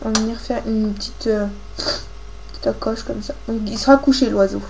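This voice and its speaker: A woman with a cold gives a casual, halting hands-on craft tutorial, thinking aloud as she works. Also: a little high, steady tone, darkish tone. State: has a cold, coughing. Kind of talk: casual hands-on tutorial, talking while working. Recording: clearly noisy homemade recording with clicks.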